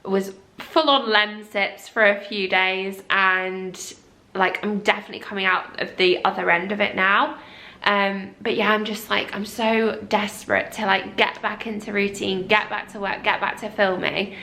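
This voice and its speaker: A woman's voice: medium pitch, little pitch movement, unhurried, pushed and bright. A young woman vlogging in a casual, rambling and apologetic way while congested with a cold. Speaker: lifestyle vlogger. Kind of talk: casual chat to camera.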